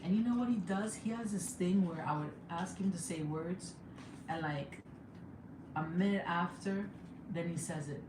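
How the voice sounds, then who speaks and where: Chatty Jamaican woman in an echoey room. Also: moderate pace. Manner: chatty, laughs often, bursts into excited high exclamations. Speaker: livestreamer and mother. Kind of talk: casual live chat. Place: home room. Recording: echoey room with background noise.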